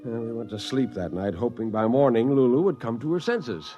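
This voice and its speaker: A man's voice: mid-range voice, a little fast, mellow, dull audio, faint music behind, close to an everyday voice. A man playing an exasperated spaceman, emphatic and wry, in a 1950s radio drama. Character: exasperated spaceman narrating his kidnapping by a robot.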